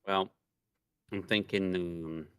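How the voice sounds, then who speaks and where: A man's voice: sits low, fairly even intonation, takes it slowly, fairly bright tone, clean tone, no echo, studio quality. A man co-hosting a livestream in laid-back, joking casual chat. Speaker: livestream co-host.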